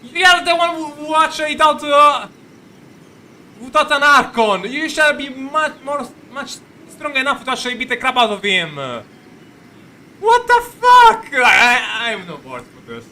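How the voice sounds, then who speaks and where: A man giving casual, halting, sweary reaction commentary as he plays a video game, sounding exasperated. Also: very high voice, very animated, sharp tone, a little hiss or hum. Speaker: game streamer. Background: game music.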